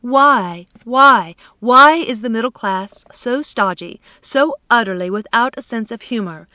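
A woman's voice: a little high, animated, measured pace, no echo, dull audio. Woman putting on an arch, gushing society wife. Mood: scornful amusement. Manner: exclamatory, affected drawl.

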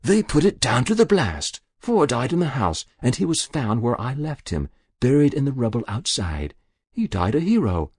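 A man's voice: medium pitch, sing-song, moderate pace, husky, dry recording, clean studio sound, pitched above the performer's own voice. A man voicing an elderly confessing woman. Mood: pained. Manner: quiet, pained, confessional.

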